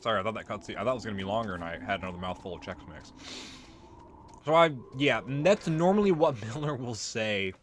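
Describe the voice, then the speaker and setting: Casual, animated male let's play host. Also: on the low side, fast talker, a little hiss or hum. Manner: informal, rambling, swearing. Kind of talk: casual gameplay commentary.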